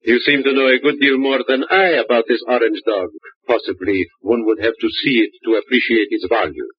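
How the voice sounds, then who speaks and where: An actor playing a smooth, evasive male curio dealer in an old radio drama, speaking with polite, formal phrasing. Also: medium pitch, moderate pace, no room sound, natural voice. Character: suave evasive male curio shop owner. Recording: muffled old radio broadcast.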